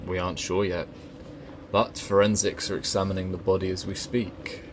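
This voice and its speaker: A man's voice: sits low, little pitch movement, normal speed, background noise, lightly acted. A man playing a grave but sympathetic male police officer in a naturalistic audio drama. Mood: grave, regretful. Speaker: actor in an audio play.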